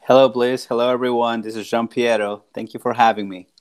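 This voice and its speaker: A man from Peru, in his forties, talks as a guest on a podcast. His voice sits at an ordinary middle pitch. He speaks earnestly and thoughtfully, often stopping to restart a phrase. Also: level tone, a little fast, clear, resonant tone. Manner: earnest, reflective, frequent restarts and repeated words. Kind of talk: casual podcast conversation.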